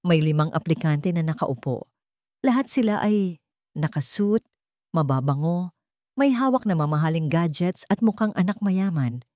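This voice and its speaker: Mellow woman narrating a dramatic story. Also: lowish voice, animated, moderate pace, no room sound, clean studio sound.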